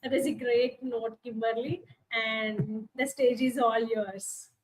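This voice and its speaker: Woman with a high voice, formal online summit host. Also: fairly even intonation, takes it slowly, rough recording. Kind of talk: reading a scripted introduction.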